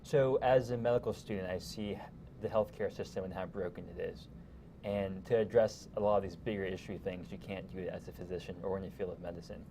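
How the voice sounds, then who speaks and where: A young man in his twenties from California answers as a guest in an interview. His voice is low and he talks quickly and conversationally. Noise is clearly audible behind him. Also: fairly even intonation, mellow, rough recording. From California, United States. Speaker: interview guest, congressional candidate.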